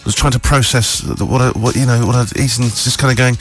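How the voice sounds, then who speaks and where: A man's voice: medium pitch, steady tone, fast. A man hosting a breakfast radio show, joking and rambling in casual chat. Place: radio studio. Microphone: studio mic with headphones. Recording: studio with clear background music.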